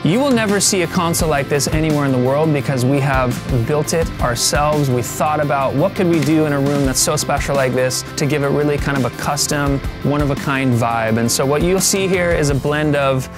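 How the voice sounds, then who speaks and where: Male tour host, thirties, fairly high voice, casual and upbeat delivery, music underneath. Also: a little fast, hardly any pauses. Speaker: studio owner giving a tour. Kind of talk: casual presenter-style tour talk. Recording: music playing behind the voice. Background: background music.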